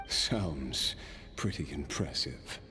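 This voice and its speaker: Deep, slow-talking immortal warrior. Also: male voice, lively intonation, over loud music, heavily acted. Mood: wry, amused. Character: cursed immortal man, weary, wry, combat-hungry warrior. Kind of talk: dramatic video game storytelling.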